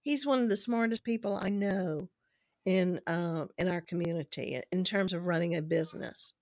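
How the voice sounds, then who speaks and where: A woman's voice: fairly low pitch, normal speed, a little mellow, no echo. Woman losing her voice, dry sound. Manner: chatty, recommends people with enthusiasm. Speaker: podcast guest. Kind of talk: casual phone-style interview chat.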